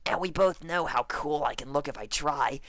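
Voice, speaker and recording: A brash young female pegasus pilot, acted out by a man, pitched well up from his own voice. She is emphatic and pleading, in a storybook-style character reading with very dry, close sound. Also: normal speed, fairly bright tone, no room sound, lightly acted. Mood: apologetic, urgent. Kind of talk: character voice in fan fiction reading.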